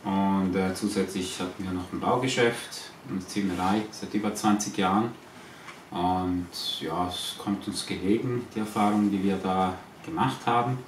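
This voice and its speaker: A man from Switzerland with a Swiss accent, answering informal interview questions in a halting way, searching for words. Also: deep voice, steady tone. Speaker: interviewee, eco-farm owner. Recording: echoey, distant, noisy ambient recording.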